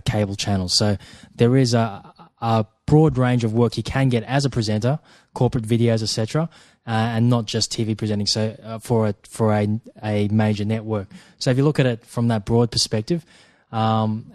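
A man co-hosting a podcast, speaking in a measured, hesitant way with fillers and repeated words. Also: lowish voice, darkish tone, no room sound, studio quality. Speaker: podcast co-host. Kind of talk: casual podcast talk.

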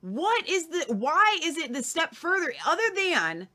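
A woman's voice: very high voice, very animated, unhurried, bright, forceful tone. A woman co-host talking in a casual, opinionated way, emphatic and full of repeated questions.

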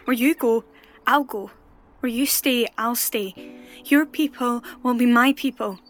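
An actress playing a devoted, resolute young widow pleading loyally in an audio drama. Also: female voice, a little high, measured pace, a bit bright, soft background music. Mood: impassioned, resolute. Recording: noisy track with birdsong behind. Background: birdsong.

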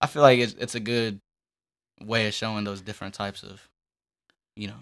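A young man chatting casually as a musician guest, in a loose, halting, slangy way. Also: fairly low pitch, steady tone, a bit bright, clean tone. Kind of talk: casual chat.

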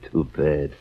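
An actor playing an uneducated, folksy, fawning henchman with a sinister streak in a 1940s radio drama. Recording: muffled 1940s radio recording.

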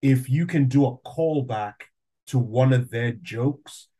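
A man's voice: medium pitch, slow pace, mellow, husky. A man who grew up in Nigeria, an aspiring comedian interviewing a guest with enthusiasm and a joking tone. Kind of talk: casual conversational interview.

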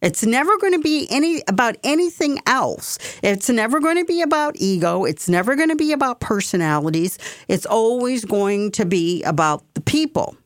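A woman's voice: a little high, animated, normal speed, hardly any pauses, no echo, studio quality. A woman hosting a community radio talk show, speaking with passion and repeating phrases for emphasis. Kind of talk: opinionated talk radio monologue.

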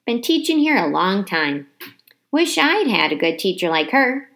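Storybook night janitor, man voiced by a woman: friendly, jovial, exclamatory, very lively intonation. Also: medium pitch, measured pace. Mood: friendly, chatty. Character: friendly, jolly male night janitor, chatty and playful.

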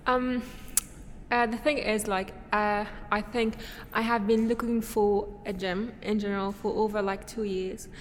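A woman's voice: medium pitch, little pitch movement, unhurried, in a large hall, a little hiss or hum. A young woman from Syria, a podcast guest, answering casually with many fillers and restarts.

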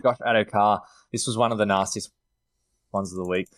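A man's voice: fairly low pitch, steady tone, a little fast, fairly bright tone. An Australian man co-hosting a sports podcast, giving injury analysis in a matter-of-fact, clinical way. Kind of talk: fantasy rugby league analysis.

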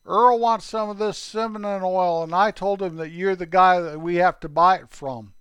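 A man's voice: sits high, fairly even intonation, normal speed, fairly bright tone, clear, resonant tone, dry recording. A man doing a cheery boy named Forky. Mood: cheerful, proud. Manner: ends nearly every sentence with his pal's name. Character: eager young boy Forky, cheerful know-it-all pal.

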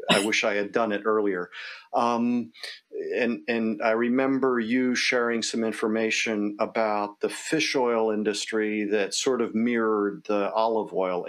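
A man speaking conversationally and reflectively, thinking aloud in a halting way as he puts questions to a guest. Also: lowish voice, fairly bright tone.

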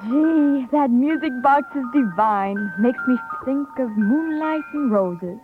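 A woman's voice: sits high, animated, slow pace, phone-quality sound, over music. An actress playing a playful, gushing young woman in a 1930s radio advertising skit. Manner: breezy, gushing.

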